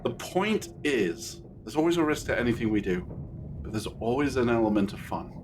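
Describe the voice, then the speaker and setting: A man's voice: mid-range voice, very animated, normal speed, close to an everyday voice. A man playing an eccentric, whimsical time-travelling adventurer in an audio drama, musing and a little self-satisfied, over noise. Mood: breezy, whimsical. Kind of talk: scripted audio drama acting.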